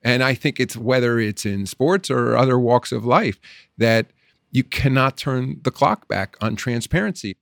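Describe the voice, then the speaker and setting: Gravelly man in a dry recording. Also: medium pitch, a little slow, no echo. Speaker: interviewee.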